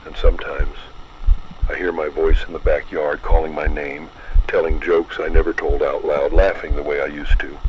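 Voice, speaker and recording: A man narrating a scripted horror story in a low, measured, ominous way. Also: dull audio, slight background noise.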